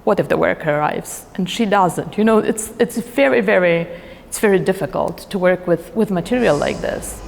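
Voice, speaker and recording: A woman's voice: fairly low pitch, moderate pace, creaky, a little mellow. A woman speaking as an exhibition curator in a documentary interview, recorded in a reverberant room. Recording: reverberant hall, faint music behind.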